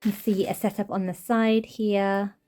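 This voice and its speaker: A woman's voice: medium pitch, level tone, moderate pace, mellow, faint music behind. A woman hosting her toy collection channel, chatting enthusiastically through a casual show-and-tell, her voice still slightly off from a recent cold. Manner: chatty, enthusiastic, gushing over tiny details. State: slightly off voice while recovering from a cold.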